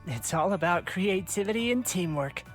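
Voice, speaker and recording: A man's voice: high-pitched, lively intonation, moderate pace, faint music behind. A man playing an easygoing, confident young artist in a visual novel. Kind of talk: visual novel dialogue acting.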